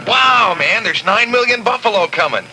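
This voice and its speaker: A man's voice: sits high, lively intonation, normal speed, sharp tone, faint music behind, full character voice, poor audio. A man voicing a laid-back stoned hippie cowboy hero in a 1970s radio comedy sketch, with a spacey slang-filled drawl. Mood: spaced-out. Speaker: comic radio actor. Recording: hissy off-air radio tape.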